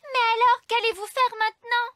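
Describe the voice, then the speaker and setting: Bright, very high little fairy princess. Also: female voice, steady tone, moderate pace. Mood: curious. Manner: curious, questioning. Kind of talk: French cartoon dub.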